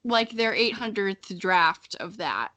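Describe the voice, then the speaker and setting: A woman's voice: medium pitch, sing-song, slow, pushed and bright. A young woman co-hosting a podcast in casual chat, candid and self-mocking, with easy swearing. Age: young adult. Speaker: podcast co-host. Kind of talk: casual chat between friends.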